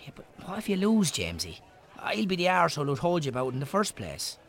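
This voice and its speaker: An actor with an Irish accent playing a teasing but cautious pub landlord in a radio drama. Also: male voice, fairly high pitch, huge pitch swings, fast, gravelly, fairly bright tone, exaggerated voice. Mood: reluctant, warning. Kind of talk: naturalistic radio drama acting.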